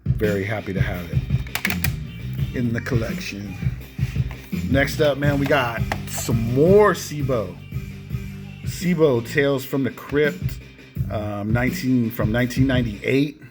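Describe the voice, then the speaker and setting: A man's voice: mid-range voice, takes it slowly, pauses often. A man hosting a casual show-and-tell of a collection, enthusiastic and often punctuating his phrases with "man". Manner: enthusiastic, repeatedly tacks "man" onto phrases. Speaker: video host showing cassette collection. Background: music with guitar.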